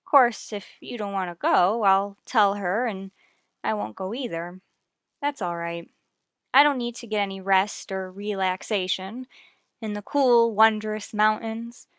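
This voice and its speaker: An American actress playing a wry, sardonic schoolteacher with dry delivery in a vintage radio sitcom. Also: female voice, mid-range voice, measured pace, a bit bright, clean tone, no room sound, close to an everyday voice. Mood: coy, wry.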